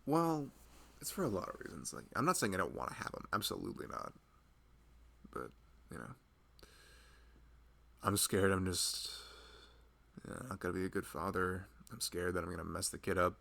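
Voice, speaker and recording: A man's voice: low voice, animated, normal speed, creaky, long pauses, bright, forceful tone, exaggerated voice. A man playing a loving husband who rambles tenderly and a little anxiously in an intimate, conversational ASMR roleplay. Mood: anxious, confiding. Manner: rambling, hesitant, conversational, frequent "you know". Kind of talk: intimate ASMR boyfriend roleplay, improvised-sounding chat.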